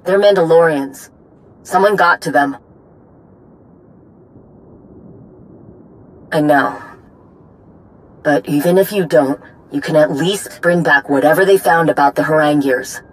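A woman's voice: low voice, normal speed, natural voice, poor audio. A woman playing a stern, vengeful warrior commander in a video game, speaking in terse, weighted lines. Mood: grim, businesslike. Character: grim, vengeful female Mandalorian warrior commander. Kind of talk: video game dramatic acting.

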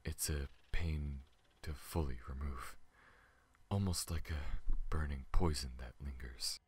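A man playing a gentle, honorable, wounded alien pilot in an ASMR audio roleplay, breathy and halting, often slipping into a whisper. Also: extremely low pitch, exaggerated voice. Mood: weary, pained. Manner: slow, halting, earnest. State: weak and recovering from wounds. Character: gentle, honorable, battle-worn tall male alien pilot.

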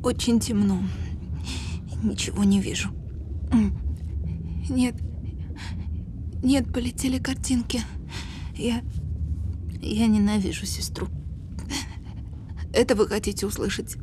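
Frightened woman under hypnosis, halting fragile delivery, audio film, slight noise in background. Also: fairly high pitch, steady tone. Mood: distressed, bitter. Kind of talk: naturalistic dramatic audio acting.

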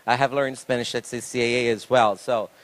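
A middle-aged Brazilian man, Portuguese native, speaking English as a talk show guest with a handheld mic, relaxed with many fillers. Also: mid-range voice, a little fast, low-quality recording. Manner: relaxed, laughs easily, many fillers like "you know". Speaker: guest, school owner and pastor. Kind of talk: interview in English. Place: indoor talk show stage on a sofa.

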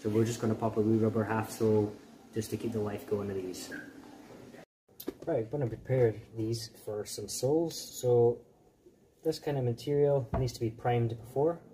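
A man's voice: medium pitch, normal speed, a little hiss or hum, poor audio. A Scottish man in his thirties, a cobbler chatting casually to viewers from his small shoe repair shop. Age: 30s. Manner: relaxed, chatty, addresses viewers as guys. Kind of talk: casual workshop vlog.

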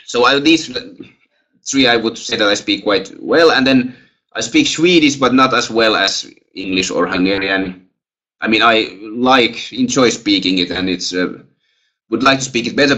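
A man's voice: mid-range voice, moderate pace, bright, forceful tone. A young man with a Finnish accent, a lecturer answering questions in a webinar over a headset on a video call, hesitant, with many fillers and restarts. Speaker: guest lecturer.

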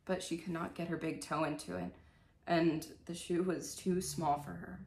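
A woman's voice: lowish voice, steady tone, normal speed, creaky, a little mellow, a little hiss or hum. A young woman hosting a dramatic storybook reading, with casual, irreverent asides, recorded in a bedroom. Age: young adult. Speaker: storyteller and video host. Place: indoors, small bedroom. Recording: echoey room.

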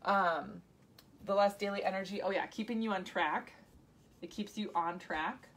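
A woman's voice: mid-range voice, normal speed. Chatty woman rambling to viewers. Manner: chatty, rambling, with many asides and restarts. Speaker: health coach hosting a live video. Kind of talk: casual live-stream talk to viewers.

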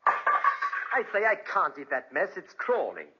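An actor playing a worldly spendthrift gentleman in prison, breezy and sardonic, in 1940s radio drama style. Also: sits high, huge pitch swings, unhurried, very noisy, full character voice. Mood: flippant. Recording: muffled 1940s radio recording.

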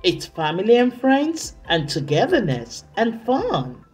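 A woman reading a story aloud for children, deliberately, with dramatic emphasis and drawn-out words. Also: mid-range voice, wildly swooping pitch, a little mellow, soft background music. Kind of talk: expressive read-aloud narration for children.

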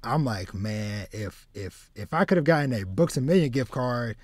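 Halting man chatting, dry close sound. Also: mid-range voice, animated, a little mellow, no echo. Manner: halting, repeats words as he starts. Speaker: podcast host. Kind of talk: casual chat about anime and manga. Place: small home room with shelves, video call.

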